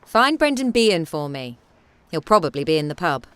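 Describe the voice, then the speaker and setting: Brisk, bossy woman theatre director, swooping intonation. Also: deep voice, sing-song, smooth, no echo. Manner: bossy, clipped orders. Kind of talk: radio drama acting.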